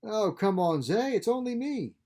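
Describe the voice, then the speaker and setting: A man's voice: high-pitched, big pitch swings, normal speed, darkish tone, voice pushed up. A man voicing an easygoing, reassuring woman traveller in a science fiction story. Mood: casual. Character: easygoing sister, a revived female space traveller.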